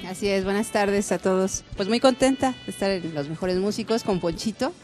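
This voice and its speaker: A woman's voice: mid-range voice, fairly quick, a little mellow, soft background music. A woman politely introducing herself as a musician guest in a TV studio interview.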